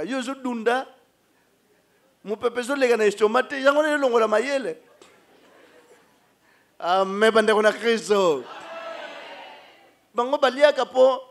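A middle-aged man with a Congolese accent preaching an animated sermon into a stand microphone in a church hall, switching between Lingala and French. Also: high-pitched. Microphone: handheld mic on a stand.

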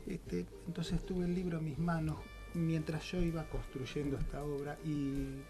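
Hombre argentino reflexivo, con muletillas. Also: male voice, on the high side, level tone, measured pace, over music. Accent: Argentine Spanish. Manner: reflective, hesitant, many fillers. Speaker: playwright and director, guest. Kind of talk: radio interview. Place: small radio studio. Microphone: studio microphone with headphones.

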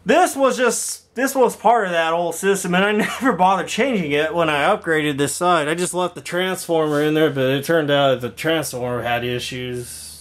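Male vlogger, slightly high voice, halting and off-the-cuff, showing his gear on a handheld camera. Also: a bit bright. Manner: rambling, halting, thinking aloud. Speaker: video creator showing a home intercom and speaker system. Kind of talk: casual walkthrough vlog. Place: indoor garage workshop.

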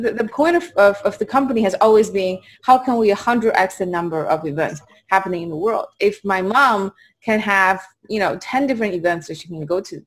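A young woman from China with a Chinese accent, giving conversational interview answers as a startup founder on a video call. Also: medium pitch. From Qingdao, China. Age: young adult. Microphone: video call at desk distance.

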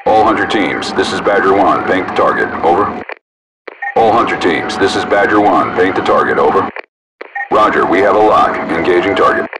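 A man voicing a brisk, businesslike armored vehicle crewman in a video game, speaking clipped, authoritative procedural chatter through a radio filter. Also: low-pitched, lively intonation. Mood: businesslike, focused. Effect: military radio filter. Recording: distant radio sound under loud vehicle noise.

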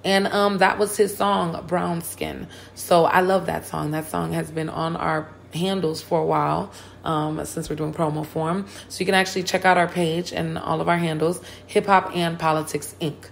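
A hoarse woman hosting a hip hop and politics podcast, talking casually and full of slang and hype. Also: fairly low pitch, steady tone, moderate pace, a little hiss or hum. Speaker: podcast host. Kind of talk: casual chat.

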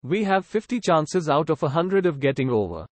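A man's voice: on the high side, sing-song, normal speed, no room sound. A man reading aloud, voicing a hopeful male passenger who sounds confident.

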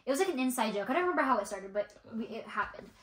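A woman's voice: fairly high pitch, expressive, very fast, a bit bright. A young American woman chatting casually and telling stories in a rambling, giggly way, with frequent "like". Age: young adult. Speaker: vlogger co-hosting with a friend. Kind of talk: casual chat, storytelling. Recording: roomy indoor echo.

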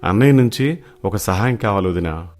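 An actor playing a poor, humble, weary village cobbler in an animated moral story, earnest and pleading. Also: male voice, on the low side, moderate pace, close to an everyday voice.

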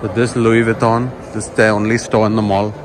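A man with a lowish voice narrates a casual walking tour of a large indoor shopping mall. He talks in a relaxed, conversational way, as if guiding friends. The phone-camera audio carries a clear wash of mall ambience behind him. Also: fairly quick, background noise. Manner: relaxed, chatty tour-guide commentary. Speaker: vlogger. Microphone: handheld phone camera.

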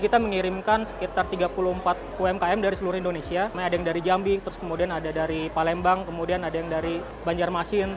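High-pitched, fast-talking man over crowd murmur. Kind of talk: explanatory interview answer.

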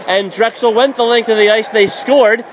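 Fast-calling play-by-play man, noisy arena. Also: high voice, fairly even intonation. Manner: rapid, shouting up on goals. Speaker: radio play-by-play announcer. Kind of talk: hockey play-by-play commentary.

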